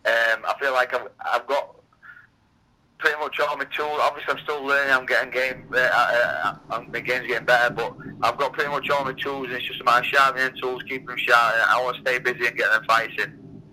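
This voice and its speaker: A man's voice: medium pitch, steady tone, fairly quick, pushed and bright, rough recording. A man of thirty with a Yorkshire accent, a fighter chatting as a guest, often repeating words as he restarts sentences. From England. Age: 30s. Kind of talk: casual phone interview.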